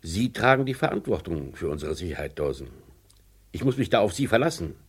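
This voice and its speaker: Mine boss, radio drama, low pitch, husky, commanding, deliberate, distrustful. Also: male voice, big pitch swings, heavily acted. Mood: sceptical, pressing. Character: authoritative, distrustful male mine company boss. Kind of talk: old German radio crime play.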